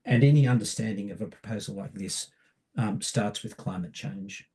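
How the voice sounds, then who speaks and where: A man giving a public lecture, croaky from a cold, measured and often pausing with ums. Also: on the low side, mellow. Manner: measured, hesitant with many ums. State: hoarse from a cold. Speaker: barrister giving a public talk.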